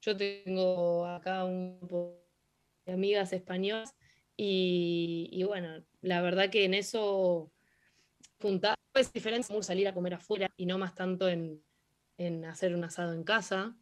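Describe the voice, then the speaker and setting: Young Argentine woman interviewee, slightly low voice, halting delivery with lots of "em" and restarts. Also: flat delivery, soft background music. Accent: Argentine Spanish. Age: young adult. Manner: hesitant, many fillers and restarts. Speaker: interviewee, hockey player. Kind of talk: casual interview answers.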